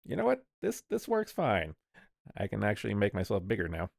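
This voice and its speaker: Gravelly low man chatting on stream. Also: huge pitch swings, a little fast, no room sound, clean studio sound. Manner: rambling, restarts often. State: coughing lately, sick. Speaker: streamer host. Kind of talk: casual stream chat.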